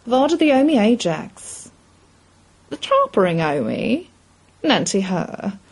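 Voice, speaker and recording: A woman voicing a dapper elderly gentleman in a story, gossiping archly in old slang. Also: medium pitch, big pitch swings, takes it slowly, breathy edge, full character voice.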